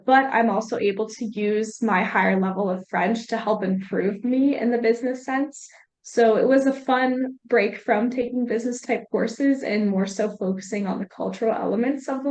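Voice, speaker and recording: A young woman student speaking on a study abroad panel in a relaxed, conversational way. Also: fairly high pitch, poor audio. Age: young adult. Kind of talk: panel discussion.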